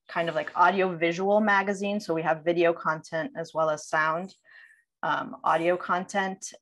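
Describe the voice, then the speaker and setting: Young woman, slightly low voice, informal lecture, sound designer, video call. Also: a bit bright. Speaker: sound designer giving a presentation.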